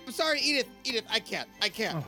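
A woman's voice: on the high side, big pitch swings, fast, sharp tone, faint music behind. An actress playing an anxious female helper, straining with effort, in an audio drama. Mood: strained, pleading.

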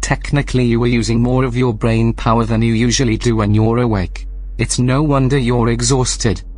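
Measured, smug station computer played by a man. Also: mid-range voice, steady tone, a little mellow, close to an everyday voice. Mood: matter-of-fact. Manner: measured, formal, matter-of-fact. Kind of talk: audio drama acting.

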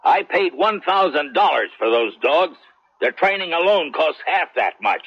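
Stern, demanding rancher, husky voice. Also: male voice, on the high side, very animated, moderate pace, heavily acted. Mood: indignant. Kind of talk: late 1970s radio drama acting. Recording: muffled old radio recording.

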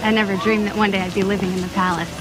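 An actress playing a gentle, modest young former queen in soft, reflective film dialogue. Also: medium pitch, little pitch movement, racing, lightly acted, low-quality recording. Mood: nostalgic. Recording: film clip with music behind. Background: music.

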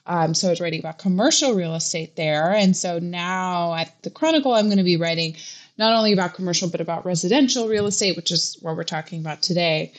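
A woman's voice: lowish voice, quick pace. Casting: young woman reporter from Hawaii, casual and chatty, lots of "um" and "like.". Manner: chatty, with many fillers and restarts. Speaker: real estate reporter. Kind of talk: casual podcast interview.

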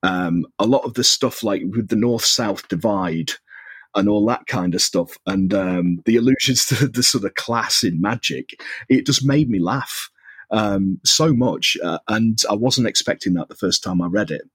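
Husky Mancunian man, rambling chat. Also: fairly low pitch, measured pace, a bit bright, dry recording. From northwest England. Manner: rambling, laughs often, many fillers and restarts. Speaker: podcast guest. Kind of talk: casual chat.

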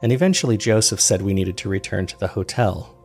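A man acting as a weary, uneasy man recounts strange events in a first-person audio drama. His voice sits on the low side and is heavily creaky, with measured, introspective delivery. Faint music plays behind him. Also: a little mellow, close to an everyday voice. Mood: weary, reflective. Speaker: narrator and host of a fiction podcast.

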